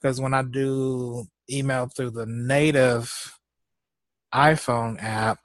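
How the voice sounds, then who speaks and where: A man's voice: medium pitch, level tone, no room sound. A man chatting casually as a co-host, rambling and laughing often, sounding congested, speaking. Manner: slow, drawn-out talk. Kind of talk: casual chat.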